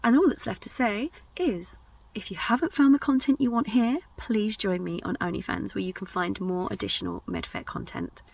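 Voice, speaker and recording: A woman speaks softly and directly to viewers as an ASMR channel host. Her medium-pitched voice has a creaky fry and sits a little way from the mic. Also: very animated, moderate pace, no room sound, far from the mic, rough recording. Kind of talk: soft-spoken direct address to subscribers.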